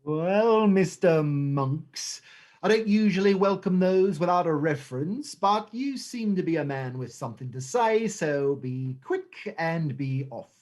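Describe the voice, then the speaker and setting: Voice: medium pitch, animated, a little slow, a little mellow, lightly acted. An actor playing a sly, menacingly courteous male crime boss in a stage play reading, theatrical and mock-polite. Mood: wary.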